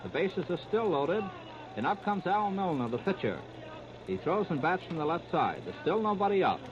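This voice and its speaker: A man's voice: sits high, very animated, moderate pace. A man calling baseball play-by-play for 1930s radio, narrating in clipped, present-tense phrases. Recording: scratchy, noisy, muffled 1930s radio recording.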